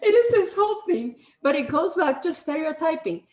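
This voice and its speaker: High-voiced woman with a Spanish accent. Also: animated, a little fast, darkish tone. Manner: rambling, many restarts and "right?" tags. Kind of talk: casual conversation. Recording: echoey room.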